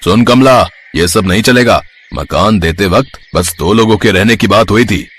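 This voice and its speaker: A man's voice: lowish voice, moderate pace, fairly bright tone, close to an everyday voice. Casting: male actor as unyielding crabby landlord for moral tale, stern, bossy, faint noise. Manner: stern, commanding. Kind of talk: dramatized Hindi moral story.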